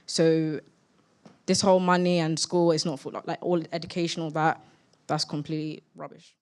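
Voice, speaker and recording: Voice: mid-range voice, little pitch movement, normal speed, clear, resonant tone. Insistent teenage student. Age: teens, final school year. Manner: insistent, colloquial, repeats for emphasis. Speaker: student audience member. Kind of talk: impassioned challenge in a public debate.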